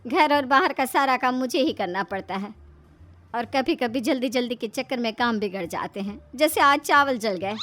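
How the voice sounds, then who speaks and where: A woman's voice: on the high side, animated, moderate pace. A woman voicing a bustling village mother-in-law, bossy yet respectful to elders, in a story. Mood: respectful, eager. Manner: calls out loudly, fussing and ordering.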